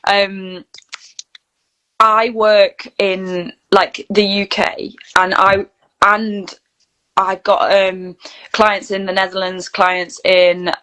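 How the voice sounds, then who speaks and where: A woman's voice: medium pitch, level tone, very slow, a bit bright, poor audio. A woman chats casually as an interview guest, telling anecdotes and often saying "like". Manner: chatty, anecdotal, peppered with "like". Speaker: guest, actor and voice reel producer.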